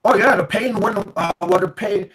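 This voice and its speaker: A man's voice: high voice, moderate pace, fairly bright tone, slightly rough. A man on a video call telling a rambling, self-mocking story as the show's guest. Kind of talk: casual group video call.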